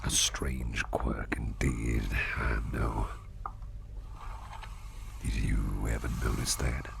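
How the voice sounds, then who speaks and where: Guy doing a gentle boss asmr thing, super gravelly breathy whisper, soothing, clear background noise. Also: very deep voice, fairly even intonation, full character voice. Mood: musing, bemused. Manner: soothing, slow, murmuring. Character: gentle, doting businessman boss with talons. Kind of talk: ASMR roleplay, personal attention.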